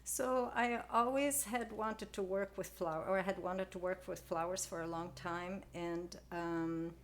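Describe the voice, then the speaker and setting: An older woman talks through her answers softly, pausing often with little fillers. Her voice sits fairly low. A faint hiss of noise lies under the recording. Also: fairly even intonation. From Belgium. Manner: soft-spoken, hesitant with many fillers. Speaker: guest floral designer. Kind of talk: casual interview.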